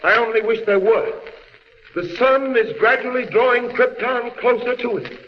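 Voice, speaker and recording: High-pitched, solemn alien scientist. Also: male voice, moderate pace. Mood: urgent insistence. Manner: declamatory, solemn. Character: grave alien scientist, man, dreading planetary doom. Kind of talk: 1930s radio drama acting. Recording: dull vintage radio recording, long reverb, distant, hiss.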